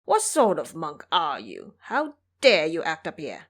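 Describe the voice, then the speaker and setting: A woman voicing a scornful male monster king in an audio drama, speaking lower than her own voice. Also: huge pitch swings, a little slow, exaggerated voice. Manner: sneering, scornful.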